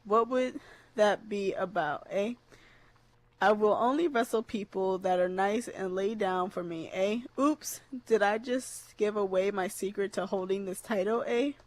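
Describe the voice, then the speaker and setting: A woman's voice: medium pitch, a little slow, lightly acted. A woman voicing a perky, fake-sweet Canadian wrestling champion, tagging "eh" onto nearly every sentence. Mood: fake cheerful.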